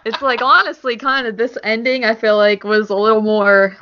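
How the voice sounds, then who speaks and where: A woman's voice: a little high, fairly even intonation, moderate pace. A woman on a podcast panel, chatting informally about film with a wry manner. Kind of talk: casual film discussion. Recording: dull, lo-fi podcast audio.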